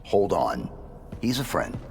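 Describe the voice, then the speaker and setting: A man's voice: sits low, big pitch swings, takes it slowly, vocal fry, exaggerated voice. A man playing a battle-hardened supersoldier in a video game, terse, restrained and commanding, with reverberant sound. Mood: guarded. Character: stoic, battle-hardened male supersoldier of few words. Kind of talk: video game cutscene acting.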